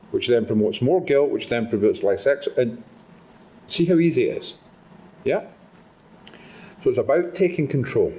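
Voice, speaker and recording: A man with a Scottish accent gives a motivational talk to an audience in a conference room. His delivery is animated and conversational. He keeps turning to the listeners with quick questions. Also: medium pitch. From Scotland. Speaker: speaker at a patient summit.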